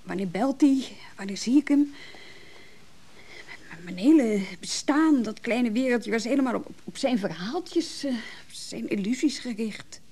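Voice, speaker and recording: A woman's voice: on the high side, lively intonation, unhurried, mellow, slightly rough. An actress playing a hurt, disillusioned woman in a radio play, nervy and brooding, speaking in hesitant, broken phrases. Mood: bitter, brooding. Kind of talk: naturalistic Dutch radio drama dialogue.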